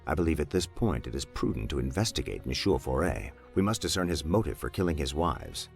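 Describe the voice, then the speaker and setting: An actor playing a grave detective in a game cutscene, speaking in a formal, measured and earnest manner. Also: male voice, a bass voice, sing-song, vocal fry, soft background music, heavily acted. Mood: earnest, resolute. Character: grave, formal male detective investigating deaths. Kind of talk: dramatic video game cutscene acting.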